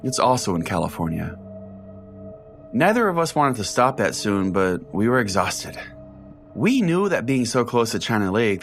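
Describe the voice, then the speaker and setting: A man playing a self-important, sardonic conspiracy-preaching pastor who rambles chattily as host of an audio-drama show. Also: on the low side. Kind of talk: scripted audio-drama monologue as a talk show.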